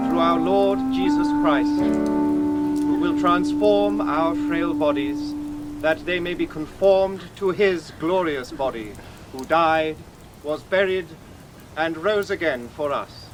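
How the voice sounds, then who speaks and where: A solemn clergyman in a radio drama, intoning slowly. His voice is quite high for a man, with loud music and rain behind it. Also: animated. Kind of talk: solemn funeral recitation in audio drama.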